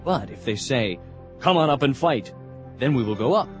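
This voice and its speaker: Male actor as young warrior prince, medium pitch, resolute, devout, audio drama, soft music underneath. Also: lively intonation, moderate pace, lightly acted. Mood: resolute, confiding. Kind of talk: dramatized audio Bible acting.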